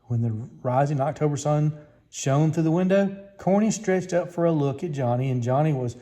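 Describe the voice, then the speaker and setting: A man's voice: a little high, normal speed, dark tone. A man reading a children's story aloud as a scripted narration. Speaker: storybook reader.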